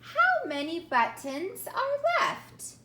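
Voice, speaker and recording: A woman reads a children's storybook aloud at a very slow, deliberate pace. Her high voice moves up and down expressively, as a teacher would for young listeners. The room around her is echoey. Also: wildly swooping pitch, sharp tone. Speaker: teacher reading a children's picture book aloud. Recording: echoey room with faint background noise.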